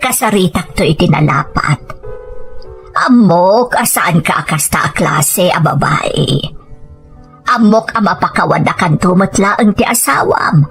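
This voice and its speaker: A woman with a Filipino accent playing a patient, consoling older mother in a radio drama, with a husky voice. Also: lowish voice, expressive. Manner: gentle, measured counselling. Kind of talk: Ilocano radio drama acting. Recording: music bed under the voice.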